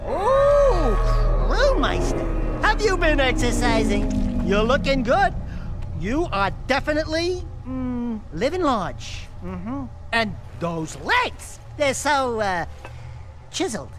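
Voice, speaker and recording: A man's voice: very high-pitched, sing-song, fairly bright tone, soft background music, heavily acted. A man voicing a cocky, wisecracking small furry animal sidekick in a video game cartoon, boastful and theatrical. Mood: teasing, flattering. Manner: boastful, fast-talking, theatrical.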